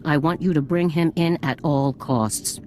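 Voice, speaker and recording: An actress playing a stern female military general who gives brisk, authoritative orders. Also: sits low, warm tone, slight background noise. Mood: commanding. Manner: brisk, authoritative, scornful of bureaucrats.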